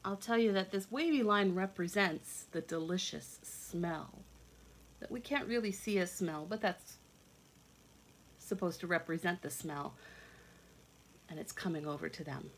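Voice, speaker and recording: A woman in her thirties reading a picture book aloud and explaining it to children in simple, expressive, teacherly phrases. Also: on the low side, a little slow, pauses often, a little hiss or hum, rough recording. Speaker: children's read-along teacher. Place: indoors at home, kitchen and a room.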